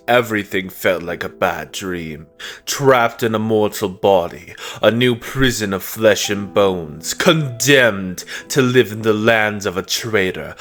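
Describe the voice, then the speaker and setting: A man's voice: on the low side, fairly bright tone. A man voicing a bitter, imperious fallen god in a comic dub, speaking in a brooding, contemptuous and menacing monologue. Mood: brooding, resigned. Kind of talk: dramatic comic dub narration. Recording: music under the voice.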